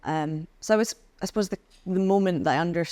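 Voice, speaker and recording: A woman from the UK, a podcast guest, speaking thoughtfully with frequent pauses and restarts. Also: on the low side, darkish tone, dry recording. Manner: thoughtful, hesitant with many ums and restarts. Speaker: podcast guest, head of communications. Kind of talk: casual interview chat.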